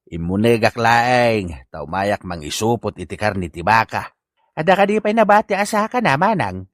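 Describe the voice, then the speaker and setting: A man's voice: on the low side, very animated, moderate pace, no room sound, studio quality. A man with an Ilocano accent playing a greedy, cheeky uncle in a comedy drama. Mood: nosy, wheedling.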